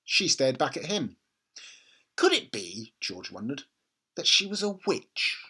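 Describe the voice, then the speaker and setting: A reader narrating a children's story in an expressive, dramatic and suspenseful style. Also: mid-range voice, a little slow, breathy edge.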